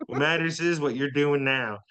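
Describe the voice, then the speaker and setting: Man playing a bright-voiced folksy diner waitress. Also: a little high, moderate pace, clear, resonant tone. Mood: sweet, encouraging. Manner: folksy, encouraging. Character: kindly, flirtatious, motherly diner waitress, woman. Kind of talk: comedy table read acting.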